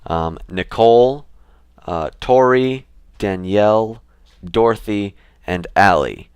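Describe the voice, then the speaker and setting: A young man talking casually to his camera in a relaxed, rambling way, with fillers and restarts. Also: fairly low pitch, takes it slowly, smooth, no echo. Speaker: vlogger.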